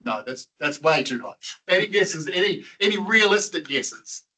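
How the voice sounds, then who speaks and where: A man's voice: on the high side, animated, moderate pace, pushed and bright. A man presenting at a tech meetup, chatting casually with the audience, quizzing them and laughing often.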